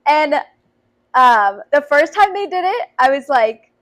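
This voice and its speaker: High-voiced young woman, earnest chat. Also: sing-song, unhurried, a bit bright. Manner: earnest, frequent "to be honest" and "like". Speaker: youth minister. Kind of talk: casual chat.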